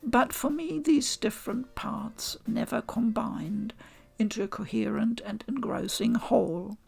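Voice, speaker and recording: A woman reading a scripted game review voice-over in a measured, articulate and deliberate way. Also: fairly high pitch, gravelly, a little mellow, husky. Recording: home voice-over with music underneath. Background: game music.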